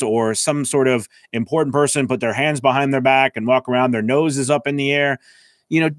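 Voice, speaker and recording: A man's voice: mid-range voice, no echo, clean studio sound. A man giving an informal lesson as a voice-over coach, explaining conversationally and often checking in with the listener. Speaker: voice-over coach and working voice actor. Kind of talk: informal instructional talk to camera. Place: editing station indoors.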